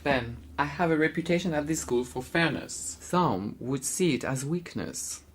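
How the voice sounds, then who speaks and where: A man with a British accent playing a stern, patronizing, quietly threatening teacher in a radio drama. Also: a little high, animated, background noise, natural voice. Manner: measured, probing, patronizing.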